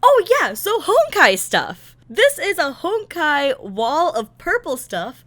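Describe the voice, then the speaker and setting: Animated, high-voiced sarcastic woman. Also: slow, no echo. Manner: sarcastic, exasperated, theatrical emphasis. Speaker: content creator reviewing a visual novel. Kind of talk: casual, slangy story recap commentary.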